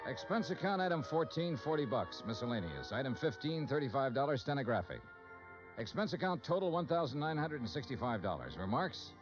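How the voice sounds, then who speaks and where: An old, dull-sounding radio recording with music underneath. A man plays a terse American insurance investigator from a 1950s detective drama, hard-boiled yet soft-hearted, with a very mobile pitch. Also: very animated, fairly quick, close to an everyday voice. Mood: brisk. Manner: clipped, terse narration. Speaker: lead actor and narrator.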